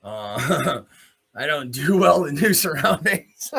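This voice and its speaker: A man with a fairly high, forceful voice talks off the cuff as the host of his own commentary show. He is blunt and opinionated, speaks in short punchy phrases and swears freely. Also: sing-song, slow pace, creaky, slightly rough. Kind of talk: unscripted opinionated monologue.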